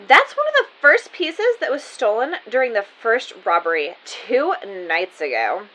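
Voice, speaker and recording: A woman's voice: fairly high pitch, very animated, unhurried, pushed and bright, full character voice, low-quality recording. A woman playing a flippant, easily panicked store worker in an audio drama. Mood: casual, offhand. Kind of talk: amateur audio drama acting.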